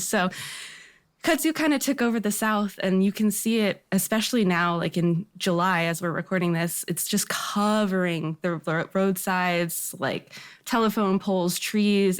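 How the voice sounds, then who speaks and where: A woman talking casually and with effusive enthusiasm as a guest on a show. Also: on the low side. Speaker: podcast guest. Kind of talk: casual excited chat.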